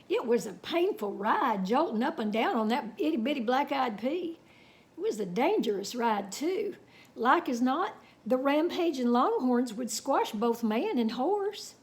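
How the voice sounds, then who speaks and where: A woman reading a children's story aloud in an expressive, folksy, dramatic way with chatty asides. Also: on the high side.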